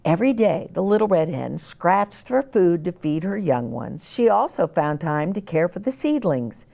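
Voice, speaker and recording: A woman reading a children's story aloud as an expressive storyteller. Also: fairly low pitch, normal speed, gravelly.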